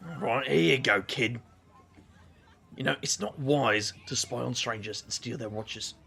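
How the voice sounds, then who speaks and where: A man playing a gruff, sarcastic British magician in an audio drama, with a gravelly voice and slangy, grumbling delivery. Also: medium pitch, unhurried, bright, forceful tone, slight background noise. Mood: gruff teasing.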